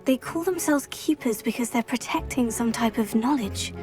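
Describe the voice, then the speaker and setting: A woman's voice: on the high side, normal speed, natural voice. A young woman playing a thoughtful, determined teenage schoolgirl witch in a video game cutscene. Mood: earnest explaining. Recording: game music behind the voice. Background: game music.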